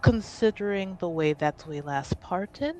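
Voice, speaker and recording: A woman's voice: on the low side, expressive, a little slow, warm tone, a little hiss or hum. A woman playing a guarded half-elven noblewoman in a tabletop fantasy game, speaking formally and with reserve.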